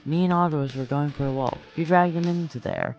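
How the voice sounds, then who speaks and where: A man's voice: on the high side, fairly quick, darkish tone, dull audio, lightly acted. A man voicing a protective warrior mother in an amateur dramatic reading, with earnest, accusing defiance. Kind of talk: amateur dramatic reading of comic fan fiction. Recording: music under the voice.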